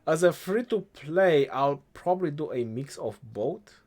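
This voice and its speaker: A young man with an Eastern European accent, answering chat questions on a game live stream in a relaxed way, thinking aloud as he goes. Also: a little high, a little slow.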